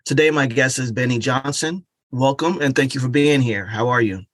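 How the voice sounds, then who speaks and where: A man's voice: mid-range voice, moderate pace, no room sound. A man hosting an interview series, giving a polished, welcoming introduction before asking questions. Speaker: interview host. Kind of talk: scripted show introduction, then interviewing.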